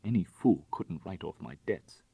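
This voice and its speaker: A man voicing a bitter, proud young gentleman who is ruined and desperate, speaking formally and emotionally in an audiobook story. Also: low voice, lively intonation, normal speed, slightly rough, no room sound, narrow, tinny audio, exaggerated voice. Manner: emotional, self-reproaching, formal.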